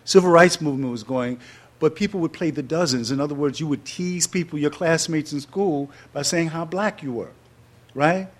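A man in his sixties from New York City, telling stories as an interview guest before an audience, animated and laughing often, with slower reflective passages. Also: on the high side. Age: 60s.

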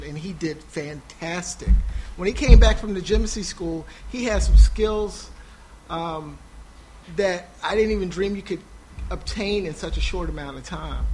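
Man with a high voice, hesitant testimony, many ums, noisy small room. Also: a little mellow. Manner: halting, many fillers and restarts. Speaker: panel speaker, parent. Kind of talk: personal testimony at a panel. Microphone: no PA, recorded for a podcast.

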